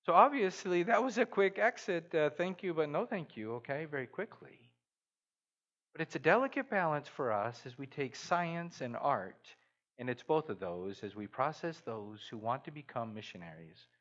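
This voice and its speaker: Animated middle-aged man preaching anecdotes. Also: moderate pace, dull audio. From Guatemala. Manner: storytelling, acts out quoted voices with big pitch jumps. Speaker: guest preacher. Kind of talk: sermon with anecdotes.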